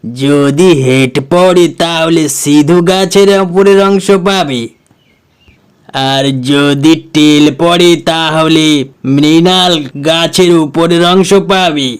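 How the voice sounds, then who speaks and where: A man voicing a village headman in a cartoon, measured, pondering and authoritative. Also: fairly high pitch, clean tone. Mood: deliberate. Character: village headman, deliberate and fair-minded elder.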